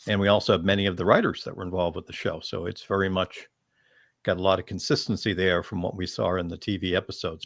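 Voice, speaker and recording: A man's voice: sits low, fairly bright tone, dry recording, studio quality. A man moderating a panel discussion in a casual, conversational style.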